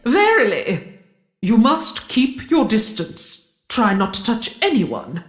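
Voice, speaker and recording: A woman playing an organized, commanding queen who talks briskly and dryly. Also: fairly high pitch, huge pitch swings, reverberant, full character voice.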